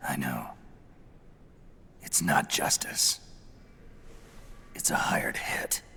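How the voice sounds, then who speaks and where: An actor playing a weary, gruff aging soldier in a video game, with a gravelly growl and a terse, fatalistic manner. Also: male voice, extremely low pitch, very slow, whispered, full character voice. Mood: weary, resigned. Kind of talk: dramatic video game cutscene acting. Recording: loud music behind the voice.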